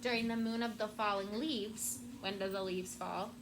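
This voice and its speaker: A woman teacher reading a storybook aloud to children in a classroom, with expressive, deliberate phrasing and prompting questions. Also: mid-range voice. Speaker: elementary school teacher. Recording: very noisy classroom recording.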